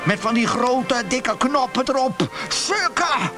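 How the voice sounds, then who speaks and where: Flustered, lustful fool over loud music. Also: male voice, very high voice, animated, takes it slowly, heavily acted. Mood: lewd excitement. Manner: stammering, breathlessly eager, repeats himself. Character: flustered, lustful, gullible male customer, eager and fawning. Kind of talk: comic Dutch radio play acting. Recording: radio play with loud music.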